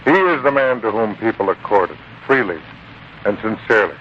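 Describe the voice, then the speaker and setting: An actor playing a calm, wise biblical disciple, measured and instructive, in a 1940s radio drama. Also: male voice, fairly low pitch, close to an everyday voice. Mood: calm, reverent. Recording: muffled, noisy 1940s radio broadcast.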